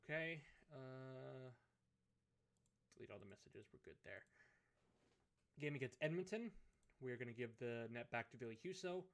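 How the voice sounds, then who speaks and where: A man with a hoarse, fading voice, thinking aloud as he commentates a hockey management game. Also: mid-range voice, animated. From Canada. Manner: musing aloud, unhurried, thinking through choices. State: hoarse, losing his voice. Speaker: gaming commentator. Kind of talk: let's play commentary of a management sim.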